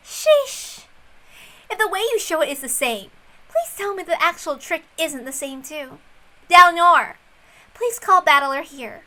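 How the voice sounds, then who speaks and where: Woman voicing a smug, high, bright young detective. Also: expressive, slow, long pauses, full character voice. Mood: exasperated. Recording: music under the voice.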